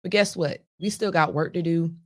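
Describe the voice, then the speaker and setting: A woman hosting a podcast, her voice raspy and hoarse from laryngitis, speaking straight to listeners in an upbeat, emphatic, motivational and folksy way. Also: lowish voice, normal speed. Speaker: podcast host and business coach.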